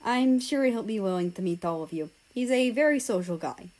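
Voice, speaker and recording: A woman's voice: medium pitch, lively intonation, fairly quick, darkish tone, close to an everyday voice. A woman voicing an earnest, rambling teenage boy who confides hesitantly in his friends in a story. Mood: subdued, fond.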